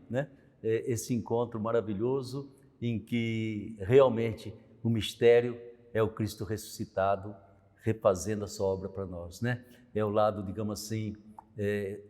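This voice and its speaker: An older man from Brazil chats as a priest, unhurried and reflective. He leans on small tag questions as he tells memories, and his voice rings through a long reverberant hall. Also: lowish voice, warm tone. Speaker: priest and show host. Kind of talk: conversational religious talk.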